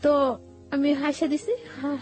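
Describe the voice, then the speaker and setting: A woman with a Bangladeshi accent tells a personal story conversationally, halting and repeating phrases as she goes. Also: sits high, flat delivery, darkish tone. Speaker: guest telling her life story. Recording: music bed behind the voice.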